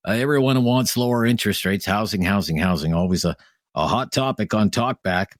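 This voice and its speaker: A man hosting a call-in radio talk show, taking calls and chatting with callers in a brisk, conversational way. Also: lowish voice, no echo, clean studio sound. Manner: brisk, conversational host banter.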